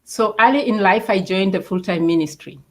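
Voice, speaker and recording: A woman with a Kenyan accent, speaking as a guest on a talk show. Her voice sits at a middle pitch, and she tells her story steadily and calmly. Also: a little fast. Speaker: author guest. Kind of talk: interview, telling her life story.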